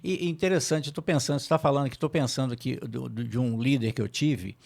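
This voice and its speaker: A middle-aged man with a Brazilian Portuguese accent hosts an interview in a thoughtful, measured way. His mid-range voice has a strong gravelly fry. He tends to bring in his own experiences as he talks. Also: medium pitch, a little mellow. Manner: thoughtful, measured, shares personal anecdotes. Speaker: host. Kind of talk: interview conversation.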